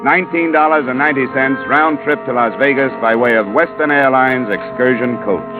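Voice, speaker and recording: An actor playing a wry, hard-boiled insurance investigator, clipped and dry, in 1950s radio detective style. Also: male voice, mid-range voice, a little fast, close to an everyday voice. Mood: brisk, dry. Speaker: lead actor and narrator of a radio drama. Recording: narrow-band vintage radio recording, music behind.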